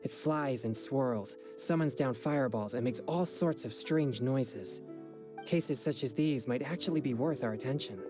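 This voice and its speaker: A man voices a young exorcist from a fantasy game. He speaks formally and courteously, earnest and quick to apologise, at a middling pitch. Music plays clearly behind him. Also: natural voice. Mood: serious, explaining. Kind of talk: video game voice acting.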